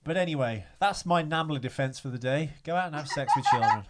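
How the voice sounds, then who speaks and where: A man's voice: on the high side, expressive, a little fast, noisy background. Rambling young man podcast host. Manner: rambling, candid, crude humor. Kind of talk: casual chat.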